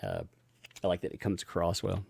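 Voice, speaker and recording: A man hosting a casual live stream, thanking viewers for donations and rambling with frequent restarts and chuckles; he has a gravelly voice. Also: sits low, big pitch swings, fairly quick, a little mellow, no echo.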